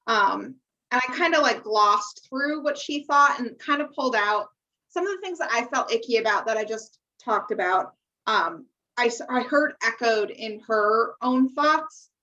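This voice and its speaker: A woman's voice: a little high, animated, unhurried, sharp tone. A young American woman co-hosting a casual podcast chat, on slightly dull lo-fi audio. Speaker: podcast co-host. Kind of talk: casual chat, discussing reality TV.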